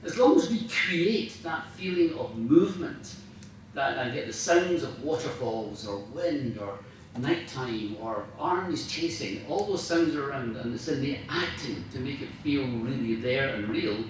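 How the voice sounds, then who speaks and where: A man's voice: medium pitch, lively intonation, measured pace. A man giving an informal after-dinner talk as a writer, speaking with enthusiasm and emphasis and often searching for his words. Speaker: guest speaker, radio dramatist. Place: large indoor room at a club dinner. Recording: very echoey room, distant mic, faint noise.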